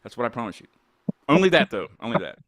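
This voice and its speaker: Lively rambling male host. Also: medium pitch, fast. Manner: rambling, stammering restarts, laughs often. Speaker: podcast host. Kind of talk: casual group chat with band guests.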